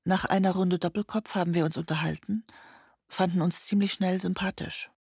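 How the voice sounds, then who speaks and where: A woman's voice: on the low side, fairly even intonation, moderate pace, gravelly, darkish tone, slightly rough, no room sound, clean studio sound. An actress playing a laconic young woman giving matter-of-fact court testimony in a documentary radio play. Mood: laconic recollection. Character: young woman witness, laconic daughter of holidaying family.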